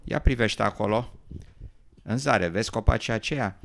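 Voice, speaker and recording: A man's voice: lowish voice, vocal fry, fairly bright tone, slight background noise. A man playing a young newt, an earnest, adventurous dreamer given to worry and doubt, in an educational radio drama. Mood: dreamy wonder.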